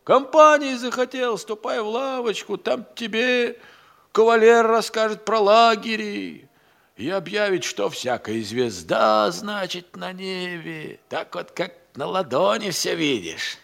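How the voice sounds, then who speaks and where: A creaky, grumbling manservant. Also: male voice, high-pitched, unhurried, fairly bright tone, exaggerated voice. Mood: relishing, boastful. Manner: folksy, drawling, sighing, grumbling, relishing his words. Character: grumbling, hungry, idle manservant, crafty and earthy. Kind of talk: theatrical audio-play monologue.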